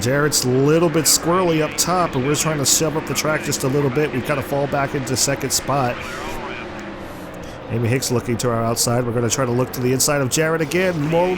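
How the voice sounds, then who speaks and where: A man's voice: a little high, a little fast. A man with a sore, congested throat from flu, giving play-by-play commentary over his own racing game. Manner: play-by-play, excited when the car slides. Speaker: gameplay commentator. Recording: game car noise behind voice. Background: racing game engine noise.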